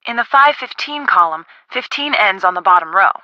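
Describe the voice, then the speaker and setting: A woman voicing a friendly young woman in a video game, casual, expressive and helpful. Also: medium pitch, fairly quick, no echo, muffled recording. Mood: helpful, explaining.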